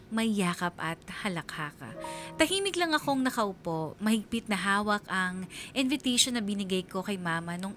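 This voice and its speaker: A lonely schoolgirl voiced by a woman narrates a radio drama in a medium-pitched voice. Her delivery is measured, anxious and sorrowful, as if confiding, with clear music underneath. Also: a bit bright, lightly acted.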